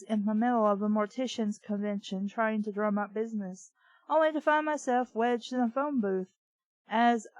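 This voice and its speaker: A woman's voice: fairly high pitch, darkish tone, no room sound, poor audio. A woman reading fiction aloud and breaking in with sarcastic, irreverent, exasperated commentary. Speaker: book review host.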